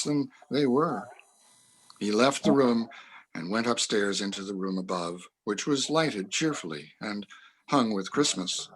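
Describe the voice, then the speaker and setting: A man's voice: lowish voice, fairly bright tone, breathy edge, rough recording. A man narrating a classic story as a community reader in a dramatic reading.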